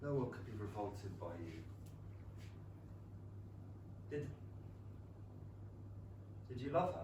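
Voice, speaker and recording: A proud, wounded gentleman of a period stage drama, sceptical and cutting, with measured, articulate phrasing. His mid-range voice carries from a distance in a roomy theatre with faint noise. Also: mid-range voice, sing-song. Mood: tender, pained. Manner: ironic, probing, cutting. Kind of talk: staged play reading. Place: small theatre, recorded from a distance.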